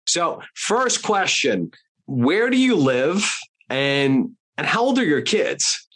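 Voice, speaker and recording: Lively man asking curious questions. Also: a little high, slow pace, rough recording. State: has a cold. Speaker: podcast co-host and career coach. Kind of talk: casual interview questions.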